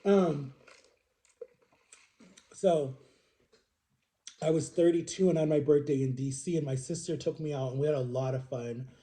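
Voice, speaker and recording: A man's voice: a little high, normal speed, long pauses, dark tone. A man in his thirties telling personal stories to viewers in a casual, chatty vlog style, rambling and correcting himself with emphatic repetition. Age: 30s. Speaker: vlogger.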